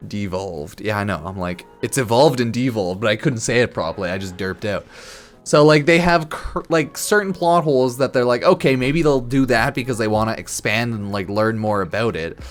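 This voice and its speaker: Male game streamer, mid-pitched, loose tipsy rambling with crude slang, music underneath. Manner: slightly tipsy, loose, halting and repetitive; informal, crude slang and swearing. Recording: music behind the voice. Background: background music.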